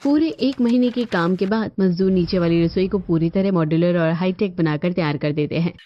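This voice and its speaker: A woman giving scripted narration for an animated family story. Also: fairly low pitch, brisk, lo-fi sound.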